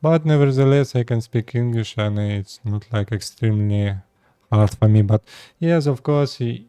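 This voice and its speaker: A 36-year-old man from Russia with a Russian accent, speaking halting second-language English as he talks casually to his viewers. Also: medium pitch, dark tone, smooth, no echo. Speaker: vlogger. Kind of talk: casual personal talk to camera.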